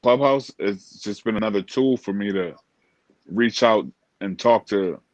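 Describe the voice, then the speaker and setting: Deep-voiced American man, dry close sound. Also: a little slow, no room sound, dull audio. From Springfield, Massachusetts, USA. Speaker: interview guest, motivational speaker. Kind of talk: casual interview chat.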